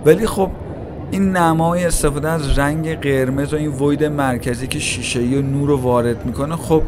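Male vlogger, chatty walk-and-talk, mid pitch, noisy mall behind. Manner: chatty, addresses viewers directly, musing. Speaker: walking tour vlogger. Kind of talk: casual walking-tour narration. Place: large indoor shopping mall. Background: mall crowd ambience.

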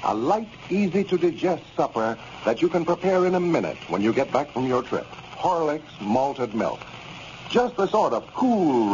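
A man's voice: medium pitch, a little mellow, lo-fi sound. An American man announcing a 1930s radio show with a polished, friendly scripted delivery. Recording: band-limited 1930s radio recording, faint noise.

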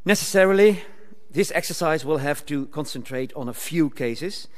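Older male academic at a lectern, careful formal delivery, fairly high voice, echoing lecture hall. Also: expressive. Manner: measured, formal delivery with occasional stumbles and restarts. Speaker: conference speaker. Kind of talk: reading a prepared academic paper. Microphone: lectern microphone. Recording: reverberant hall.

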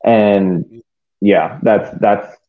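Male co-host, thirties, lowish voice, thin phone-like call audio, casual match breakdown. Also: fairly even intonation, drawn-out words. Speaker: podcast co-host. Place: room at home. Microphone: video call microphone.

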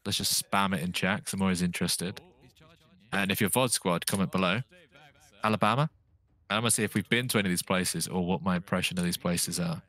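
A man's voice: deep voice, steady tone, fast, a bit bright, dry recording. A man from Britain co-hosting a game stream, politely reading out chat and thanking subscribers. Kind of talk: casual chat while gaming. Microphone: gaming headset.

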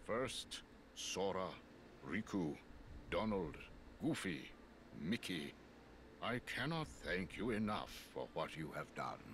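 An actor playing a solemn elderly sorcerer mentor in a game, speaking formally and in a stately, measured way. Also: male voice, low voice, sing-song, fairly bright tone, a little hiss or hum, exaggerated voice. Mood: solemn, grateful. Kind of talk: dramatic game cutscene acting.

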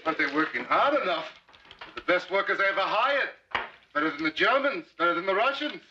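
An actor playing a grumbling, wary middle-aged farm employer with folksy speech in a television period drama. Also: male voice, high voice, expressive, brisk, noisy background. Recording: dull old TV soundtrack.

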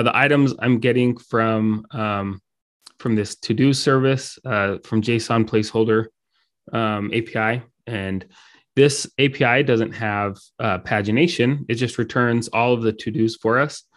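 A man's voice: mid-range voice, fairly even intonation, normal speed. Guy with a dry throat demoing code on a call, lots of ums, casual. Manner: many ums and restarts, casual explaining. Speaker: meetup speaker. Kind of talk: live coding talk.

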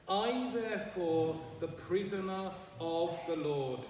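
An older man, a priest in his sixties or beyond, preaching a sermon in a deliberate, emphatic manner with measured phrases. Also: high voice. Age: 60s or older. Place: large stone church, at a lectern. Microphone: far camera microphone. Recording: echoey church, distant mic, background noise.

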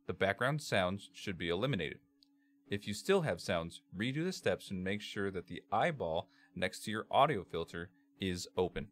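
Low, bass-heavy man giving a tutorial. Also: lively intonation, fairly bright tone. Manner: measured, step-by-step instruction. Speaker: tutorial presenter. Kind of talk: scripted software tutorial narration. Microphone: computer microphone, screen-capture voice-over.

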